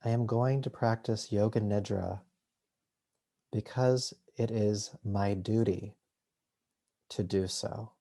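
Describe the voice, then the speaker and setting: Slow, mellow man guiding meditation. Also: lowish voice, steady tone, gravelly. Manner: soothing, unhurried, with long pauses between short phrases. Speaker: yoga nidra teacher. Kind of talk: guided meditation.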